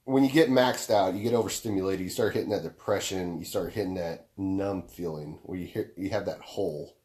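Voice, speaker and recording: A young man with a deep baritone voice, talking casually on a podcast, laid-back and swearing freely as he explains through long stories. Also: a little fast, a little mellow. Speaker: podcast co-host.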